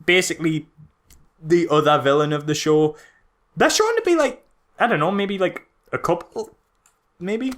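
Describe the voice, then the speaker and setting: A man co-hosting a relaxed podcast, reviewing a film he finds absurd. His pitch jumps around, he laughs a lot and keeps asking disbelieving questions. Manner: laughing, incredulous, rapid questions. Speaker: podcast co-host. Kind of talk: casual film review chat.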